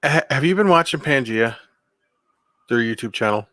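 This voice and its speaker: Deep-voiced guy, kinda hesitant, lots of uhs, bright dry sound. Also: lively intonation, normal speed, no room sound. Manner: hesitant, full of ums, chuckles. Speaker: guest. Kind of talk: casual chat.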